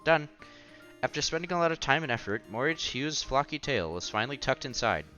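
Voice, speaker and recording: A man's voice: mid-range voice, expressive, a little fast, highs cut off. A man reading a visual novel aloud on a headset mic, acting out the dialogue and adding offhand comments in a casual way. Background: soft music.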